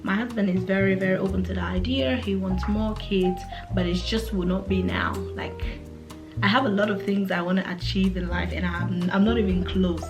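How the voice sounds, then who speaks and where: A woman's voice: medium pitch, moderate pace, over music. Chatty young African woman vlogger. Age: 20s. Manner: chatty and relaxed, with fillers and restarts. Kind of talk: casual chat to camera.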